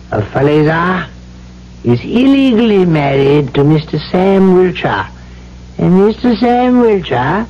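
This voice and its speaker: A man's voice: high voice, full character voice. A man playing a mocking, crooked trader in an old-time radio drama, reading in a mock-official, declamatory way. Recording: muffled old radio broadcast, faint hum.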